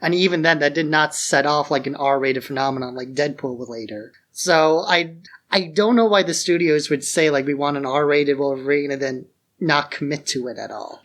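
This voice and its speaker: A man's voice: on the high side, normal speed, fairly bright tone. A man co-hosting a podcast about films in a casual, conversational style. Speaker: podcast co-host. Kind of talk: casual chat.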